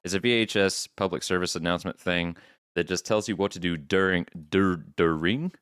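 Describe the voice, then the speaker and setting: A man with a very deep, smooth voice talks as a podcast co-host. He explains things casually and a little haltingly, in a dry, close-sounding recording. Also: a bit bright, no room sound. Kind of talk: casual recap of horror videos.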